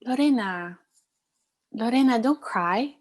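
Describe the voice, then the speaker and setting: A woman's voice: fairly high pitch, expressive, slow pace. A woman playing a caring but firm middle-aged Cuban aunt in a play table read, coaxing and mixing in Spanish endearments. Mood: gentle, nostalgic.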